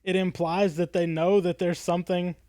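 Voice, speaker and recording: A man's voice: high-pitched, flat delivery, normal speed, warm tone, no room sound, studio quality. A man co-hosting a podcast, talking casually in a fan discussion. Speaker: podcast co-host.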